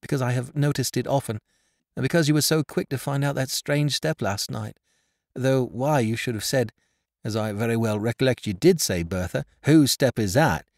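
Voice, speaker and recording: A man voicing an excitable, warm-hearted young wife in a Victorian storybook, breathless and exclaiming. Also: fairly low pitch, measured pace, creaky, no echo, clean studio sound, heavily acted. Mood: flustered, hurried.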